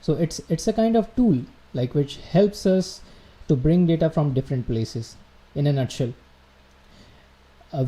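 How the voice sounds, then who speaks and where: A man teaching a live online class, working through technical ideas at an unhurried pace with plenty of fillers and self-corrections. His voice is deeply mellow and dark in tone. He pauses to ask viewers whether they can hear him. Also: poor audio. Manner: explains slowly with many fillers and restarts, checks in with viewers. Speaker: livestream instructor. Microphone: computer mic, quiet at first then turned up.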